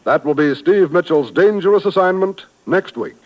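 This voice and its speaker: A man's voice: high-pitched, expressive, muffled recording. Polished vintage radio announcer man. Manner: brisk, polished promotional delivery. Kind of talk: 1950s network announcer promo. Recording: vintage broadcast with faint music.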